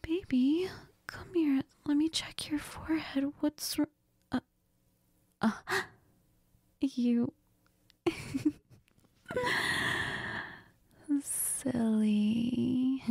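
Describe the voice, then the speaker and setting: A woman's voice: high-pitched, drawn-out words, long pauses, warm tone, no echo, full character voice. A woman playing a needy, cuddly girlfriend in an intimate audio role-play, whispery and tender, with hesitant murmurs. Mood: affectionate, playful. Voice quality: breathy, whispery. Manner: hesitant, giggly, tender, murmuring with Spanish endearments. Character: needy, cuddly, affectionate girlfriend who missed her partner.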